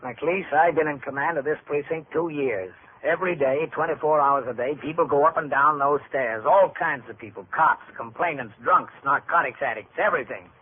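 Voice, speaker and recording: An actor playing a steady, authoritative police captain with measured, matter-of-fact speech in an old-time radio drama. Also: male voice, fairly high pitch, close to an everyday voice. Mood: stern. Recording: tinny, noisy vintage radio recording.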